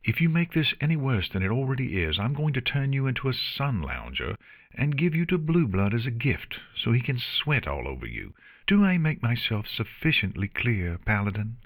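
A regal storybook pony princess acted out by a man speaks above a man's usual low register. She is cool, formal and haughty, clipping her words with dry wit. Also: very animated, a little slow, a bit bright, no echo, full character voice. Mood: curt.

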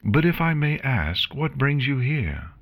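A man's voice: mid-range voice, big pitch swings, fairly bright tone, voice pushed up. A man voicing a nervous, formal female pony nurse who keeps apologizing. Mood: flustered. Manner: formal, anxious, quick to apologize.

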